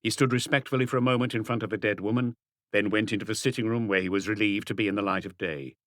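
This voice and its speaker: A man's voice: lowish voice, fairly even intonation, fast talker, fairly bright tone, no echo, studio quality. A man reading scripted literary narration as an audiobook narrator.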